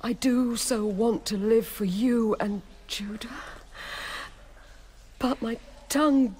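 An actress playing a parched, frail young imprisoned daughter in a radio drama, breathy and often near a whisper. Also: female voice, a little high, slow pace, mellow. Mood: weak, despairing.

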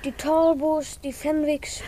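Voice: high-pitched, level tone, slow, dark tone. A performer playing a curious, earnest young boy in a 1950s radio play, eager and questioning. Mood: uneasy, earnest.

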